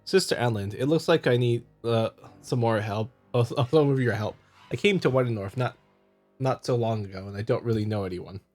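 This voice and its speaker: A man playing a smooth, scheming visual novel husband who feigns loving concern, coaxing and patronizing. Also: mid-range voice, normal speed, darkish tone, faint music behind, natural voice. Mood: feigned concern.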